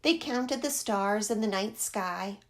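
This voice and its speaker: A woman reading a picture book aloud to young children in an expressive, deliberate, dramatic storytelling manner. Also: medium pitch.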